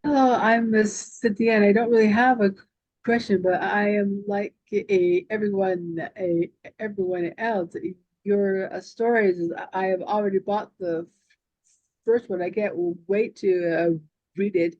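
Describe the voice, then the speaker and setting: A woman gives a halting, hesitant comment on a video call. The audio is dull and dry. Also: mid-range voice, fairly even intonation, no echo. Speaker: audience member.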